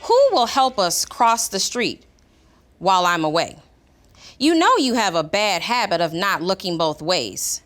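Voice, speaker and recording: A woman's voice: mid-range voice, very animated, measured pace, a bit bright. A woman reading a storybook aloud as a needy, indignant dog, in a dramatic, complaining manner. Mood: indignant pleading. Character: indignant, needy pet dog writing a pleading letter. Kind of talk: expressive storybook read-aloud.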